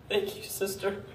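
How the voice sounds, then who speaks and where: Woman playing a slow, grieving little schoolboy, echoing. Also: slight background noise. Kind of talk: live stage radio theatre acting. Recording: very echoey stage, far from mic.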